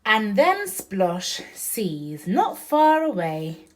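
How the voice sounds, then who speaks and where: Female, motherly bedtime storyteller: very unhurried, animated intonation, child-directed questions and picture asides. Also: mid-range voice. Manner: sing-song, slow emphatic reading of the story. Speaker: mother reading aloud to her young child. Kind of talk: bedtime picture-book read-aloud with chatty asides.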